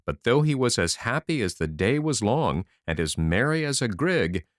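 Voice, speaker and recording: A man narrating a fairy tale as an audiobook, in an expressive storytelling style. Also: medium pitch, normal speed, no echo, studio quality.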